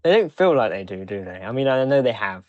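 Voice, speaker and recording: A man's voice: lowish voice, animated, quick pace, no echo, lo-fi sound. A man co-hosting a podcast, talking casually as he shares news and thoughts. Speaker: podcast co-host. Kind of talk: casual chat, reading out release news.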